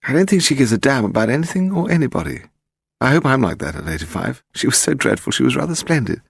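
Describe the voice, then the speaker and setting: A man's voice: medium pitch, big pitch swings, quick pace, creaky, breathy edge, no room sound, studio quality, voice pushed up, exaggerated voice. A man reading the part of a polite, persistent English woman, a writer's wife, in an audiobook mystery. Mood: wry, relaxed. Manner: poised, persevering.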